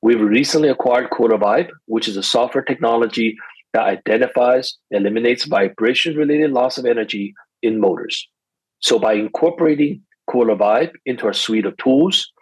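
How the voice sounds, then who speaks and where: A man's voice: mid-range voice, moderate pace, husky, dry recording, rough recording. A man in the role of a company executive, formally reading prepared remarks for an earnings call.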